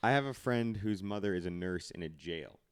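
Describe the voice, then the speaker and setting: A man's voice: deep voice, lively intonation, a little fast, smooth. A man hosting a podcast interview, bantering casually in a teasing, jokey way. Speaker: podcast host.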